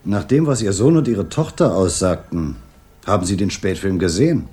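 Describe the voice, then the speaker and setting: An actor playing a commanding, sardonic police inspector who needles people in a radio drama. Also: male voice, low voice, lively intonation, normal speed, warm tone. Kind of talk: 1980s German radio drama acting.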